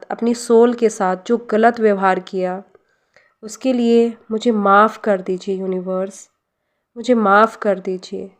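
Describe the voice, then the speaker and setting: An Indian woman leading a guided meditation and prayer, speaking gently and deliberately with pauses and repeated phrases. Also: mid-range voice. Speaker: guided meditation leader, life coach.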